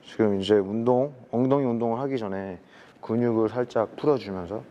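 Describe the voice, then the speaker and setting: A man's voice: mid-range voice, racing, mellow, muffled recording. A man hosting a fitness vlog, presenting and interviewing casually, a native Korean speaker.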